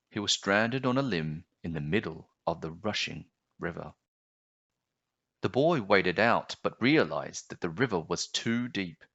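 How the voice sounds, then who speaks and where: A man narrating a children's storybook aloud in a measured, expressive storytelling manner. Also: deep voice, no echo, lo-fi sound.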